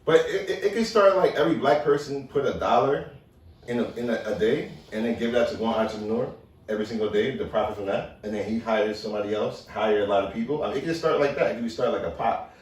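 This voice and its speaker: A man from Liberia co-hosting a podcast in casual chat, getting animated when he argues a point. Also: lowish voice, fast. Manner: animated, rising sharply when worked up. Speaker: podcast co-host. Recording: roomy echo, far from mic.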